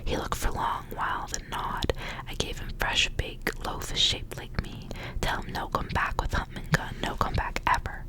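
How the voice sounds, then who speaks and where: A woman whispering softly in a breathy, rambling ASMR style, as a host reading and chatting casually. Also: moderate pace. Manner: soft, rambling, repeats words.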